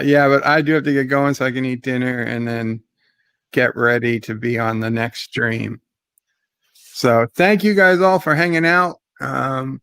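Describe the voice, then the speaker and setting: A man hosting a casual livestream chat, relaxed and thanking his viewers by name as he wraps up. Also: mid-range voice, smooth, dry recording. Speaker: host.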